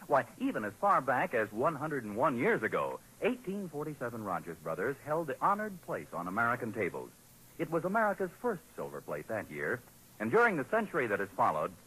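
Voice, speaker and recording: A man announcing on 1940s radio in a forceful, slightly rough voice. He sells folksily and smoothly, with lively swings of pitch over an old broadcast recording. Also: fairly quick, rough recording. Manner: folksy, polished salesmanship.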